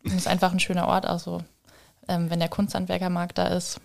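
A woman's voice: on the low side, completely flat delivery, fairly quick, vocal fry. A woman chatting informally as a guest on a podcast. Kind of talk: casual chat.

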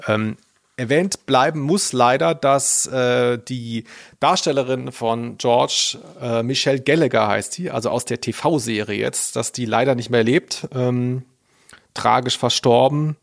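A man's voice: mid-range voice, a little slow, creaky, dry recording. Male podcast host, close and dry. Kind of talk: casual discussion, some reading aloud.

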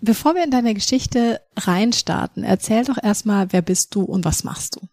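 A woman's voice: medium pitch, moderate pace, a little mellow, no room sound. A young woman hosting a podcast in a studio, chatty and enthusiastic in a casual interview. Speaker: podcast host.

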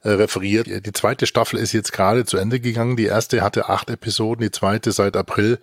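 A man's voice: lowish voice, little pitch movement, a little fast, breathy edge, no room sound, clean studio sound. A man co-hosting a review podcast, analysing things conversationally and often searching for his words.

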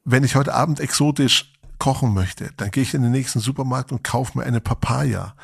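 A German man in his forties co-hosting a podcast, talking in a relaxed, reflective way with some fillers. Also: medium pitch, moderate pace, gravelly, husky, dry recording, clean studio sound. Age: 40s. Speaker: podcast co-host. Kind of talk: casual podcast conversation.

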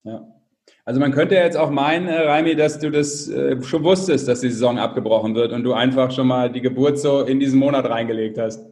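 A man's voice: mid-range voice, a little fast, darkish tone. A man co-hosting a podcast in a casual, joking way. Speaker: podcast co-host. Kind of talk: casual chat, bantering.

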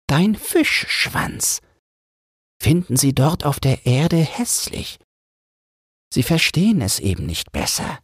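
A man voicing a wise, resigned old mermaid grandmother in a thin, breathy falsetto, slow and instructive, for a fairy-tale audiobook. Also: no echo, clean studio sound, full character voice. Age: older.

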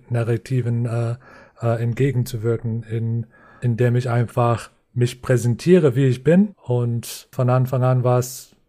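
Non-native male guest, warm dark tone, even delivery, hesitant phrasing. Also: mid-range voice. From Lakota reservation, US Northern Plains. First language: not German. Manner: hesitant, many fillers and restarts. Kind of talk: podcast interview, reflective explaining.

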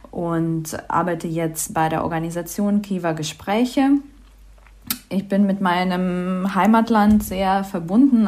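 A woman from Ukraine, a guest on a podcast, speaking haltingly with many fillers and audible emotion. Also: lowish voice, a little mellow. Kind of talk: podcast interview.

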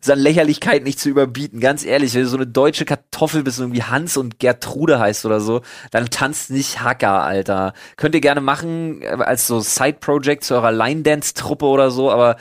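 A man's voice: mid-range voice, a little fast, few pauses, dry recording, clean studio sound. A man chatting casually as a podcast host, with a slangy, irreverent, ranting way of talking. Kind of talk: casual, slangy banter with friends.

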